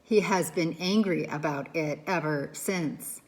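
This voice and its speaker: A woman voicing a calm, helpful female robot in a children's storybook, with even, deliberate, polite phrasing. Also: low voice, pitched below the performer's own voice, rough recording. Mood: calm, measured. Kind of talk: children's storybook read-aloud.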